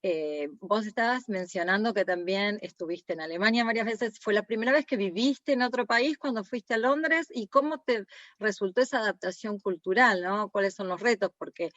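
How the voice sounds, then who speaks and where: A woman's voice: medium pitch, normal speed, a bit bright, dry recording, muffled recording. Woman co-hosting a podcast. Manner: conversational, hesitant fillers. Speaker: podcast co-host. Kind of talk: podcast interview.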